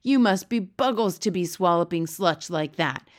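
A woman's voice: fairly low pitch, expressive, moderate pace, natural voice. A woman voicing a brutish, gluttonous male giant in a storybook, bellowing and sneering with mangled grammar. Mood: outraged, scornful. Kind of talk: storybook character reading.

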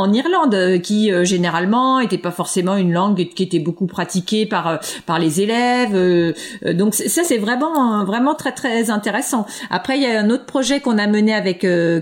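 A woman researcher answering interview questions, hesitant, with many fillers and restarts. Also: medium pitch. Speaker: education researcher.

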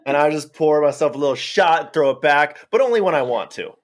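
A man guest on a panel cracks self-mocking jokes and keeps his patter quick and loose. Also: a little high, lively intonation.

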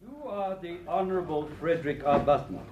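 Man cast as courteous but probing English coroner, radio drama, fairly high voice, very varied intonation. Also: lively intonation, fairly quick, a little mellow, dull audio, a little hiss or hum, lightly acted. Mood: brisk, formal. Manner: formal, precise. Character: formal, probing, courteous coroner questioning witnesses. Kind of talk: British radio drama acting.

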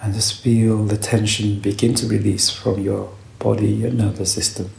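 A man guides a meditation in a low voice with a creaky, gravelly edge. He speaks gently in short, unhurried phrases with long pauses between them. The sound is roomy, with some echo around his voice. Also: level tone. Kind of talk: guided meditation, calm spoken instruction. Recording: roomy echo, faint background noise.

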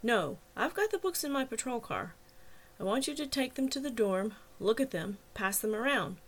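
A woman's voice: a little high, lively intonation, normal speed. A woman voicing a persistent, teasing male police officer in an audiobook, in a coaxing, persuasive manner. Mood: earnest. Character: persistent, teasing male cop, cajoling and reasonable. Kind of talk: audiobook character dialogue.

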